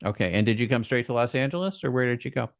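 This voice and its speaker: A man hosts a podcast interview and asks questions in a conversational, encouraging way. His voice sits in a middle pitch. The recording is dry and close, with almost no room sound. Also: lively intonation, rapid-fire, dry recording. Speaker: podcast host.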